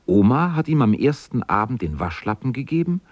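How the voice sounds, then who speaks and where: An actor narrating a children's radio play as a plain-spoken storyteller with a husky voice. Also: male voice, medium pitch, very animated, brisk, no room sound, highs cut off. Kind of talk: scripted narration in a radio drama.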